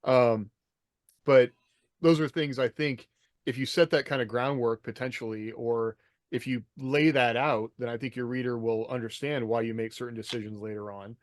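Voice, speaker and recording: A man's voice: mid-range voice, steady tone, moderate pace, no echo. A man with a mid-range voice, recorded close and dry, speaks as a podcast co-host. He muses aloud in a casual, reflective way. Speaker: podcast co-host, military veteran. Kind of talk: casual panel discussion. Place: indoors, video call.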